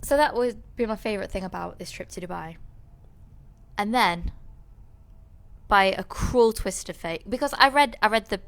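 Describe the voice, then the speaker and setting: A woman's voice: medium pitch, moderate pace, pauses often. A woman co-hosting a podcast, telling a rambling story in casual chat, with many restarts. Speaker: podcast co-host.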